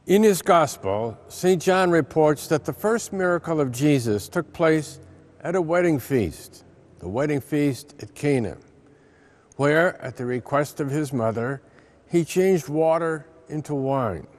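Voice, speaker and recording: A man in his seventies with an American accent, a priest reading a scripted reflection in a measured, solemn manner with deliberate emphasis. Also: on the high side, lively intonation. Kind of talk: scripted reflection read aloud.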